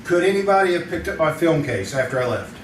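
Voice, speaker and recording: An actor playing an inquisitive, wry crime photographer sleuth in an old-time radio style drama. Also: male voice, fairly high pitch, lively intonation, fast, natural voice. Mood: curious, wry. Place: live theater stage. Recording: distant echoey stage recording, clear noise.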